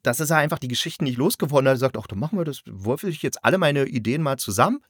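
A man's voice: mid-range voice, expressive, very fast, slightly rough, dry recording, clean studio sound. A man chatting casually and critically as a reviewer, often rephrasing himself mid-thought. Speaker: audio drama reviewer and host.

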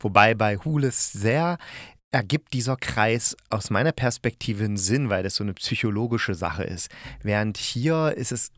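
A man's voice: fairly low pitch, animated, moderate pace, a bit bright, no echo, clean studio sound. A man hosting a podcast, reviewing in a casual, loose and meandering way as if thinking aloud. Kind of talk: casual spoken radio-play review.

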